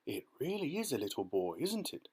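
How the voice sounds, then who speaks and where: A man voicing an excitable, panicky head of a fire department in a storybook, yelling dramatically. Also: mid-range voice, fairly quick, slightly rough, voice pushed up. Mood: astonished.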